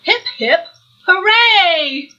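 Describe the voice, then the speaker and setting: A silly boy duck from a picture book, acted by a woman reading aloud. The voice is very high and bright, gleeful and playful, given to farmyard honks. The sound is slightly dull and homemade. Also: expressive, very slow, exaggerated voice. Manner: playful, honking and clucking. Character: silly, gleeful male puddle duck making farm noises.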